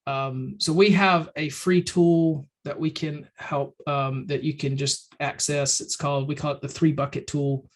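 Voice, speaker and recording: A man presenting in a casual webinar, loose and conversational with many ums and restarts, his voice slightly hoarse. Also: fairly high pitch, level tone, a little slow, few pauses. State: slightly hoarse, clearing throat. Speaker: webinar presenter for a firm advisory program.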